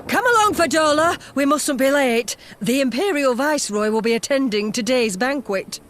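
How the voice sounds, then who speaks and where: A high, expressive anxious mother, proper and earnest. Faint ambient noise sits behind her game cutscene lines. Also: normal speed. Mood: gentle, hurried. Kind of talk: video game cutscene acting.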